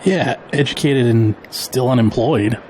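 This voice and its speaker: A man's voice: medium pitch, measured pace, a little mellow, a little hiss or hum, natural voice, poor audio. A man playing a dry, wry middle-aged father of a graduate in an audio drama.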